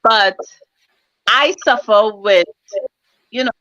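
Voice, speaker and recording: Hesitant woman with a bright, dry sound. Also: a little high, takes it slowly, dry recording, dull audio. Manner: hesitant, searching for words. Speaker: guest. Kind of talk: casual live-stream chat.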